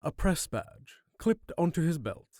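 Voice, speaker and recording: A man narrating a scripted fantasy audio drama in a measured, dramatic storyteller manner. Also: on the high side, sing-song, warm tone, no room sound, studio quality. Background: music.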